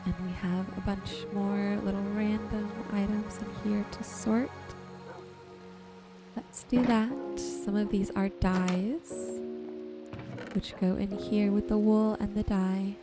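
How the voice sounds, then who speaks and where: Slow-talking woman, ASMR gaming commentary over music. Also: medium pitch, little pitch movement. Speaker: gaming streamer and host. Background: music and rain sounds.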